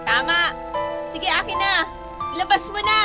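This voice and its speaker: A woman's voice: extremely high pitch, moderate pace. A woman playing a gullible, lovesick young provincial woman with a whiny, melodramatic manner in a radio comedy. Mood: heartbroken. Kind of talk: broad comic radio drama. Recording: lo-fi radio broadcast with music.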